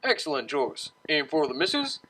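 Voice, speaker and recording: A woman's voice: sits low, sing-song, moderate pace, a bit bright, slight background noise. A woman voicing a courteous, drawling male stallion waiter in a pony story.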